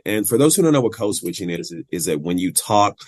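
A man in his forties with a very low voice, talking in an earnest, reflective way as an interview guest. He has a stutter and repeats words as he restarts sentences, laughing easily. The sound is dry and close. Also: big pitch swings, a little fast, a little mellow, dry recording. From Kansas City, Missouri. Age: 40s. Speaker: guest, writer. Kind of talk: casual interview talk.

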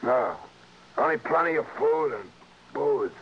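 An actor playing a stubborn, rattled small-time ex-convict in a 1960s radio drama, defensive and stammering, with rough streetwise grammar. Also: male voice, medium pitch, expressive, a little slow, natural voice. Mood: resigned. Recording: dull, noisy vintage radio recording.

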